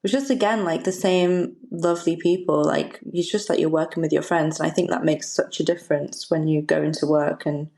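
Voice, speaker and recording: Chatty woman with a softened Liverpool accent. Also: low-pitched, steady tone, fairly quick, hardly any pauses. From Liverpool, England. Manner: chatty, rambling, laughs often. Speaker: podcast guest, stylist. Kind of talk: casual chat.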